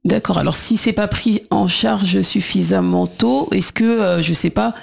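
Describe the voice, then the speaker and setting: A woman's voice: mid-range voice, a little slow, gravelly, slightly rough. A woman hosting a radio discussion, asking questions in a hesitant, word-searching conversational way. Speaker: radio host.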